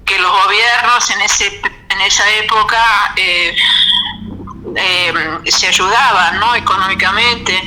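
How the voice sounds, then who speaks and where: A woman, a guest on a radio talk show, speaking in a measured, hesitant way with many fillers and restarts. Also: mid-range voice, steady tone, pushed and bright, slight background noise, low-quality recording. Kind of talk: radio interview, reflective. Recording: radio studio.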